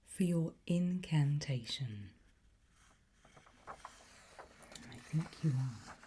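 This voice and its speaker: A woman doing a whispered ASMR teacher roleplay, softly and deliberately drawing out and enunciating her words. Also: low-pitched, slight background noise. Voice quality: breathy, soft whisper. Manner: slow, deliberate, drawn-out enunciation. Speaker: ASMR roleplay host as a teacher of demonic Latin incantations.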